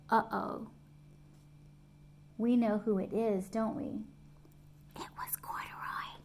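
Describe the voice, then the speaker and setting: A middle-aged woman reading a picture book aloud to young children at home, expressive, pausing to explain and ask questions. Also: sits high. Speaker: storytime reader. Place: small room at home, indoors.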